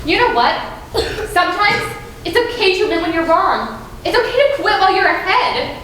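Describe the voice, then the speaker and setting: A woman's voice: extremely high pitch, animated, normal speed, a bit bright. A young woman playing a cool, knowing colleague in an amateur stage drama. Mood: cool, advising. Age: teens or young adult. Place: school theater stage. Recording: very echoey stage, noisy.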